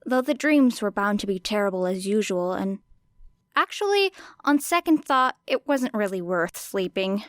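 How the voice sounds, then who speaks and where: Woman, audiobook narrator, smooth clear voice, mid pitch, wry dramatic storytelling. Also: lively intonation, moderate pace, no echo, clean studio sound. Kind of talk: expressive scripted fiction narration.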